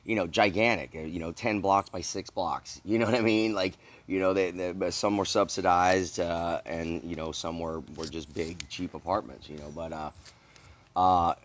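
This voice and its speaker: A middle-aged man from the American South interviewing a guest, speaking thoughtfully with many halting restarts. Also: deep voice, steady tone, slight background noise. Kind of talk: casual interview.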